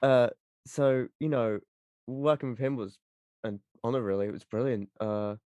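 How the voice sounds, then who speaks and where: A man's voice: mid-range voice, normal speed, warm tone, clear, resonant tone, dry recording, lo-fi sound. A young man chatting casually as a guest actor, earnest and hesitant, often padding his sentences with "you know". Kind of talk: casual chat.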